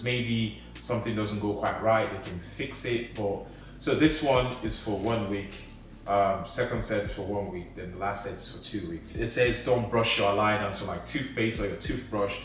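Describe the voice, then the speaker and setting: A man vlogging casually, chatty and excitable as he unboxes something and thinks aloud. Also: fairly low pitch. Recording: echoey room, mic at a distance.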